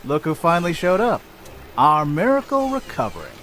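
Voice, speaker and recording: Flamboyant, sing-song game adventurer. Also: male voice, fairly high pitch, big pitch swings, moderate pace, a bit bright, slight background noise. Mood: boastful. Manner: theatrical, teasing, self-satisfied. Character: flamboyant, boastful young male adventurer and smith. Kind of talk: video game cutscene acting. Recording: music behind the voice.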